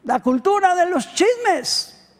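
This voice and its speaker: A middle-aged Costa Rican pastor preaching a sermon, swinging between loud emphatic peaks and quiet low asides. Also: male voice, sing-song, takes it slowly. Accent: Costa Rican Spanish. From Costa Rica. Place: church hall. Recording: long hall reverb.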